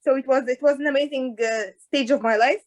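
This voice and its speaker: A woman, an orthodontist guest talking in a casual interview over a video call, with hesitant pauses and restarts. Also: high-pitched, steady tone. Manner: hesitant, many restarts and fillers. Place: in Dubai.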